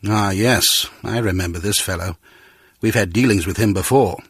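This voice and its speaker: An actor playing an authoritative spy chief in a video game, formal, measured and businesslike. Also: male voice, lowish voice, a bit bright, husky, dry recording, studio quality. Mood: grave, businesslike.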